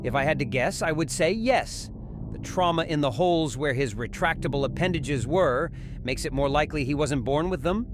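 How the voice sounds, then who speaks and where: Man acting grave, higher-pitched senior doctor. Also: expressive, background noise, close to an everyday voice. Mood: grave, pondering. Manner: formal, grave, deliberate. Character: senior male hospital doctor, grave and authoritative. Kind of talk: horror audio drama acting.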